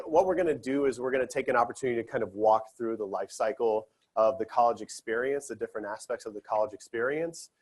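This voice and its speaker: Man moderating a conference panel. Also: mid-range voice. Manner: earnest, many fillers and restarts. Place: conference session room.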